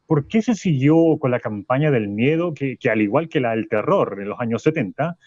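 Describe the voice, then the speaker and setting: A man's voice: mid-range voice, expressive, normal speed, a little mellow, no echo, muffled recording, natural voice. A man playing an indignant, didactic philosophy professor in a radio play, insistent, repeating his phrases and interrupting. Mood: insistent, challenging. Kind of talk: Spanish-language radio play as live debate.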